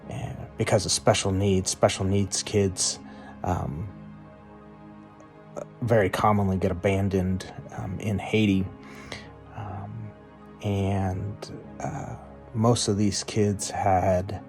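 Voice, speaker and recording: Middle-aged male, halting testimonial speaker. Low pitch, very flat intonation, music underneath. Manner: halting, pausing often. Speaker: volunteer doctor giving a testimonial. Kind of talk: personal testimonial.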